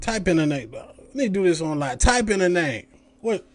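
A man's voice: high-pitched, sing-song, moderate pace, soft background music. A man in his late thirties hosting a podcast, delivering a heated, profane, mocking rant. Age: 30s. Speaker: podcast host. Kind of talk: ranting commentary.

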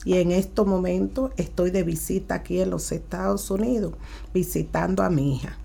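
A woman with a Cuban accent, answering interview questions as a guest in plain, unhurried sentences with pauses. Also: fairly low pitch, fairly even intonation, gravelly, warm tone, slightly rough. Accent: Cuban Spanish. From Cuba.

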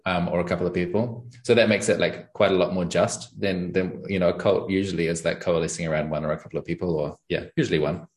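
Thoughtful New man, low voice. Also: steady tone, quick pace, hardly any pauses. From New Zealand. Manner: thoughtful, searching for words, frequent ums and laughs. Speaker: podcast guest and channel host. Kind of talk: casual conversational interview.

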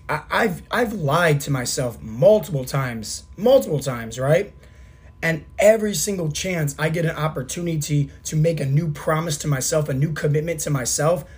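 A man in his thirties with a scratchy, worn-out throat, his voice fading as he talks. He speaks in a slightly high register, earnest and repetitive like a fitness coach rallying his listeners. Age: 30s. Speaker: podcast host and fitness coach. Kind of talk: motivational podcast monologue.

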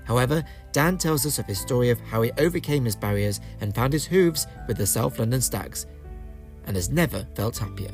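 An upbeat male podcast host introduces the episode with plenty of enthusiasm. His voice sits at a middle pitch, and faint music plays behind him. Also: lively intonation, a little fast.

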